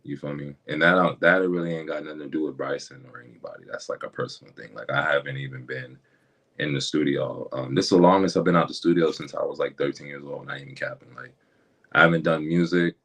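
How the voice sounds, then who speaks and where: A young man, a rapper guest, talking candidly in a podcast conversation, halting and repeating words, with casual slang. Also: extremely low pitch, steady tone, gravelly, a bit bright, husky.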